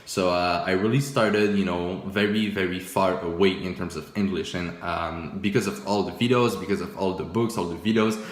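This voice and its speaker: A young man with a low voice whose first language is French talks casually over his screen, looking back at old work. He is easygoing and self-deprecating, full of "you know" and "uh". The sound carries a noticeable room echo. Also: normal speed, few pauses. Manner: relaxed, self-mocking, many fillers and restarts, chuckles often. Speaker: forex trading YouTuber. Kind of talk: casual screen-share commentary.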